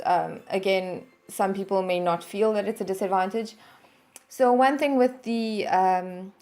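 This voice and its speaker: A woman hosting a channel, talking through a product review in a steady explanatory way with some fillers. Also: medium pitch. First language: English. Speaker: channel host reviewing a service. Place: home in Norway.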